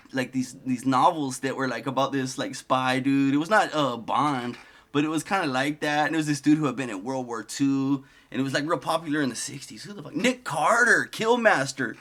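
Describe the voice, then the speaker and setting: A man's voice: on the high side, bright, forceful tone. A man giving loose, profane comedic commentary over clips, wandering off-topic and laughing at his own jokes. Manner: profane, digressive, laughs often. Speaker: commentary host.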